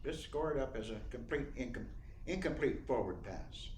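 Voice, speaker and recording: A frank, wry theater director, played by a man in a radio drama. His delivery is lively and swings widely in pitch, in a roomy echo with faint noise. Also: normal speed, natural voice. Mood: wry, rebuffing. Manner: wry, blunt. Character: Bill, blunt, wry male theater director. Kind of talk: radio play acting.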